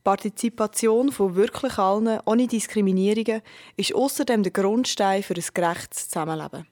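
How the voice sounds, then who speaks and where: A female radio reporter, reading a scripted news feature in a measured, even way. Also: medium pitch.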